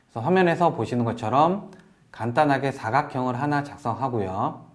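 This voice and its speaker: Man, mid-pitched voice, software instructor, polite explanatory tutorial narration, step-by-step delivery. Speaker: webinar presenter, software instructor. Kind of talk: software tutorial narration over screen demo.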